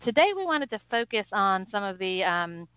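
A woman's voice: medium pitch, very animated, moderate pace, clear, resonant tone, dry recording. An American woman hosting a podcast interview in a polite, agreeable conversational style. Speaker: podcast host. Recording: dry, narrow phone-like sound.

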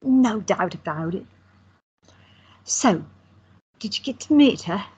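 A woman's voice: medium pitch, animated, slow, a little mellow, husky, highs cut off, heavily acted. A woman playing a teasing, affectionate young woman in an audio drama. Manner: playful, teasing.

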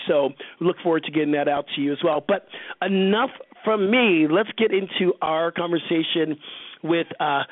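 A man's voice: sits high, no room sound, phone-quality sound. A man hosting an interview show in a casual, informal and effusive conversational way. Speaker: podcast interview host.

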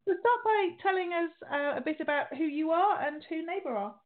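A woman's voice: very high-pitched, expressive, fairly quick. A middle-aged woman hosting an interview show, talking in a chatty way with restarts and fillers. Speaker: radio show host. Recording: tinny phone-like sound.

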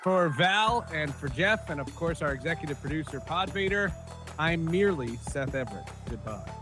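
Podcast host, slightly higher-pitched man. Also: lively intonation, moderate pace, over music. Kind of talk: casual podcast chat.

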